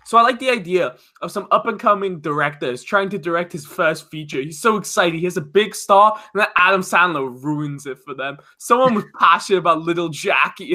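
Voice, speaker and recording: Bright, high-voiced joking man. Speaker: podcast co-host. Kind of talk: casual, joking chat.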